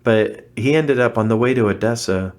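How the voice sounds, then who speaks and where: A man's voice: medium pitch, normal speed. A middle-aged man, a guest on an interview podcast, earnestly recounting his experiences in a casual, conversational way with frequent restarts. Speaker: interview guest, former missionary.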